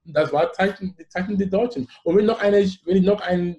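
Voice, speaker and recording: A man's voice: high-pitched, lo-fi sound. A man in his forties from Cameroon with a Cameroonian accent, telling stories animatedly as a guest in a casual talk. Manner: animated storyteller, quick laughs. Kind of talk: casual conversation.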